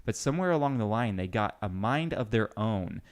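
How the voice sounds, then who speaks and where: A man's voice: fairly low pitch, animated, a little fast, smooth. A man hosting a casual pop-culture podcast, joking and enthusiastic as he talks and reads out bits of comic history. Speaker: podcast host.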